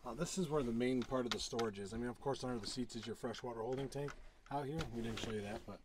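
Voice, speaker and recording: A man in his thirties giving a casual, matter-of-fact boat tour, a little quiet because he is off the mic. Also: medium pitch, quick pace. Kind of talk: casual walkthrough vlog. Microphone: no mic of his own, picked up from a distance. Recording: noisy audio with clicks.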